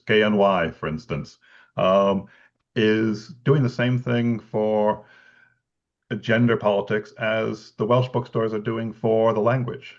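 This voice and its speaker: A male researcher speaking thoughtfully on a video call, pausing and restarting often with light laughs. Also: lowish voice, creaky. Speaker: bookselling researcher guest. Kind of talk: conversational explanation. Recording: dull lo-fi call audio.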